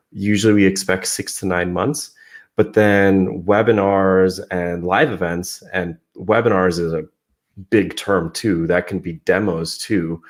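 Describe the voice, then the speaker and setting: A man from San Francisco, a digital marketer, explaining his work as a guest in a casual video-call interview. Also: sits low. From San Francisco, United States. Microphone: podcast-style microphone.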